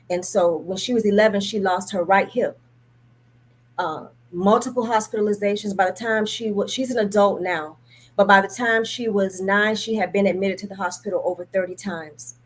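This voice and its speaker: Middle-aged woman explaining in earnest. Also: medium pitch. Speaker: guest, parent advocate for sickle cell. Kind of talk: earnest personal storytelling in an interview. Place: remote video call.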